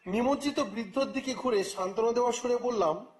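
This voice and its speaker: A man narrating a story in the first person, with expressive, theatrical phrasing and a scripted dramatic style. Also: very high voice, a little fast, slight background noise. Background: music.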